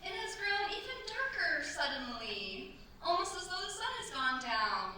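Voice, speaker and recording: An actor playing a dutiful, anxious young French bride in a staged radio play, speaking politely and hesitantly. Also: female voice, extremely high pitch, big pitch swings, measured pace, bright, forceful tone, exaggerated voice. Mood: uneasy, wistful. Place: staged performance in a cultural centre. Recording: very echoey hall, distant mics, faint hiss.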